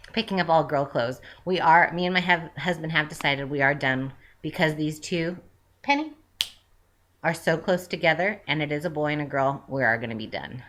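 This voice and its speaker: Low-voiced chatty woman in her twenties. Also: fairly bright tone. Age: 20s. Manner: rambling, with frequent "um" fillers and self-corrections. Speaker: vlogger. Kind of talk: casual chatty update.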